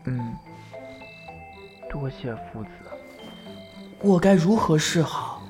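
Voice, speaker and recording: A man playing a shy, playful, lovestruck young male fox spirit in a period Chinese audio drama, with an expressive, bashful, slightly whiny delivery. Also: on the high side, slow, music in the background. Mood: flustered, yearning. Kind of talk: ancient-China romance audio drama acting.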